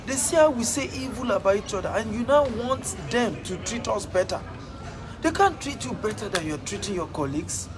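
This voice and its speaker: A man's voice: high voice, lively intonation, a little fast, music in the background. A man with a Nigerian accent giving a motivational talk, emphatic and repeating his phrases for effect. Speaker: motivational speaker.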